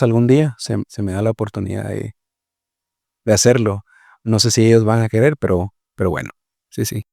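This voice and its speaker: A man's voice: medium pitch, vocal fry, a little mellow, no echo, clean studio sound. A man from Guatemala, a missionary guest explaining his work in a reflective, conversational way, often checking in with "¿verdad?". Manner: reflective, explains slowly with many fillers and "¿verdad?". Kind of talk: conversational interview.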